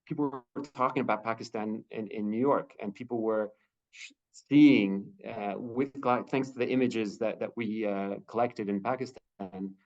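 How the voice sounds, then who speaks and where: 低めの声でためらいがちに話す男性、こもった通話音声. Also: male voice, lowish voice, steady tone, a little mellow, muffled recording. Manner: halting, many fillers and restarts. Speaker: humanitarian spokesperson. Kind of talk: video-call career talk answering questions.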